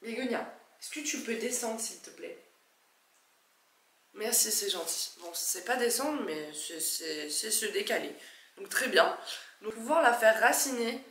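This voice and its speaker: A young woman in her twenties with a stuffy nose from a cold, chatting excitedly and poking fun at herself while she unboxes a plant in her living room. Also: on the high side, unhurried, roomy sound. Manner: chatty, excitable, self-mocking. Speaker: plant vlogger. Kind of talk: casual unboxing vlog.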